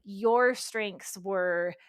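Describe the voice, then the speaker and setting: A woman who hosts a podcast, interviewing a guest with affirming remarks and turning lively and animated when she tells stories from teaching. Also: mid-range voice, extremely slow, smooth. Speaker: podcast host.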